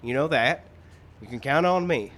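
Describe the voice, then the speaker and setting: A man's voice: mid-range voice, animated, normal speed, a bit bright, lightly acted. Man as earnest, anxious frontier storekeeper. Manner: earnest, urgent, folksy. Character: anxious, eager frontier storekeeper readying to fight. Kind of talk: old-time radio western acting. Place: live radio show in a park. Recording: live outdoor stage, background noise.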